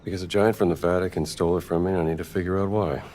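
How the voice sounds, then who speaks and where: A man playing a gruff, wry American archaeologist adventurer in a cinematic game, dry and sardonic. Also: low voice, fairly even intonation, rapid-fire, darkish tone, a little hiss or hum, close to an everyday voice. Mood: wry, irritated.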